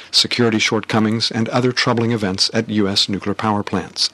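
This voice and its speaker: A man reading international news stories in a measured, scripted newsreader delivery. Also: fairly low pitch, a bit bright. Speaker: news reader.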